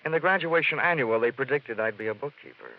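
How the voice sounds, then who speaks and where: A man's voice: mid-range voice, quick pace, slight background noise, natural voice. Wry American radio detective played by a man, swooping delivery. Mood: teasing, flirtatious. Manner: deadpan, flirtatious, sardonic. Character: wry, wisecracking hard-boiled American investigator, narrating his case. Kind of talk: 1950s radio detective acting and narration. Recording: dull 1950s radio recording, music behind.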